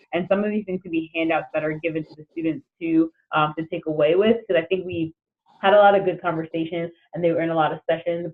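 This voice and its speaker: A man casually explaining ideas to colleagues on a work conference call, heard over a phone line. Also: fairly high pitch. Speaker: committee member.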